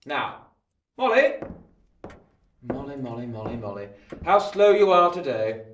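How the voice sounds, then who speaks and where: An actor playing a shrewd, domineering lawyer in a radio play, with an imperious, declamatory manner. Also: male voice, mid-range voice, very animated, measured pace, a bit bright, recorded from a distance. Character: domineering, shrewd male lawyer, commanding host. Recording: echoey room, off mic, background noise.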